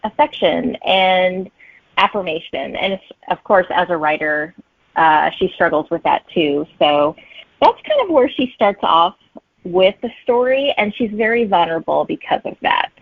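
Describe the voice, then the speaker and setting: A woman's voice: lowish voice, expressive, measured pace, creaky, no room sound, narrow, tinny audio. A woman chatting casually as an author being interviewed, heard over a thin remote connection.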